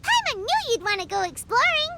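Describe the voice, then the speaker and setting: A woman voicing a tiny, chatty, excitable fairy girl companion in a video game, high and squeaky, talking about herself in the third person. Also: brisk, heavy background noise, full character voice.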